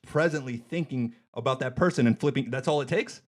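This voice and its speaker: A man with a mid-pitched voice hosts a comedy podcast. He talks casually, like someone trading jokes with a guest. His delivery is wry and irreverent, with loose, unfiltered banter. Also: fairly quick. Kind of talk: joking casual interview.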